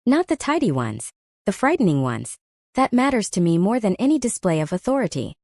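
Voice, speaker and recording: Protective thirtyish alpha werewolf, played by a woman narrator: earnest, plain-spoken, sincere, lively intonation, dry sound. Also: medium pitch, a little fast, dry recording. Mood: quiet, tender. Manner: quiet, simple, sincere.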